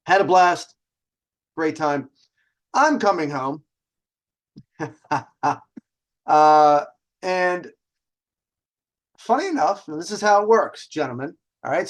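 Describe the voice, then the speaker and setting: A man hosting a casual storytelling session with dating advice, talking in a cocky, conversational way and swearing freely. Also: fairly high pitch. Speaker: host telling personal stories and dating advice.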